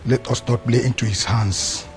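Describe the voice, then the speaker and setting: A man playing a calm elder who gives measured, formal counsel to a king. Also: medium pitch, faint music behind, natural voice.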